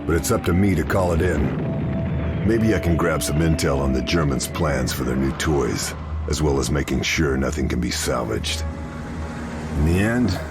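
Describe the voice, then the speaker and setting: Casting: grim American wartime operative, bottomless low pitch, measured and terse delivery for a cinematic game. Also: male voice, over loud music. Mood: somber, brooding. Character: grim, stoic male American military marksman and spy. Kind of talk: cinematic war-game dialogue and brooding narration.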